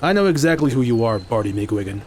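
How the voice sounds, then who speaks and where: A man playing a proud, unyielding, reclusive martial artist in an audio drama, speaking in a stern, blunt, deliberate way. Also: mid-range voice, sing-song, darkish tone, a little hiss or hum, lightly acted. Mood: scornful.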